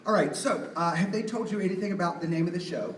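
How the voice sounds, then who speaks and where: A man's voice: high voice, fast, a little hiss or hum. A man, a puppeteer, playfully introducing a live show to children and putting questions to his audience. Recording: echoey room, distant mic, music behind.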